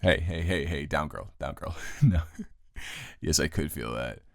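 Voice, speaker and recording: Very deep, gravelly man in intimate roleplay. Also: no echo, clean studio sound. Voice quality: low, gravelly. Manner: casual, halting, unscripted delivery. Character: grumpy, teasing, affectionate male roommate turned lover. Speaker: audio roleplay performer. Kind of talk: improvised intimate boyfriend roleplay, conversational.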